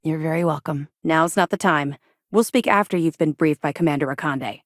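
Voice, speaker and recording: Stern, low-voiced female space officer. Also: a little fast, no echo. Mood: dry, guarded. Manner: clipped, authoritative, dry. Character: stern, seasoned female space-navy officer, reformed ex-pirate. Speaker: second-in-command military officer in a sci-fi game. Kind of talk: video game voice acting.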